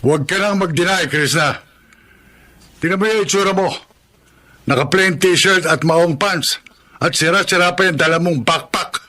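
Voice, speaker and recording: A man's voice: high-pitched, moderate pace, pauses often, pushed and bright. A man playing a scornful older father. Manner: belittling, contemptuous. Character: scornful older father, harsh and dismissive of his son. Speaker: father celebrating his birthday. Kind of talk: audio drama acting.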